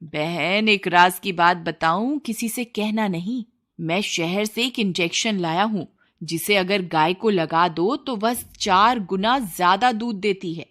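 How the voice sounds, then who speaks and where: A woman's voice: mid-range voice, slow pace, fairly bright tone. A woman voicing a scheming male village neighbour in a cartoon story, chummy and confiding. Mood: conspiratorial.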